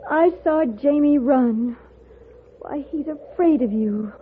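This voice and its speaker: High-voiced, distressed young daughter. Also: female voice, slow pace. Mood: earnest, pleading. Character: devoted, distressed young daughter, earnest and pleading. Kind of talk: 1950s radio melodrama acting. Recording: noisy narrow-band old radio recording.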